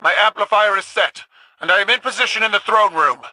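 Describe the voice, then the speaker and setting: An actress playing a proud, scornful alien warrior daughter in a video game battle. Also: female voice, medium pitch, a little fast, sharp tone, no echo, rough recording. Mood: determined.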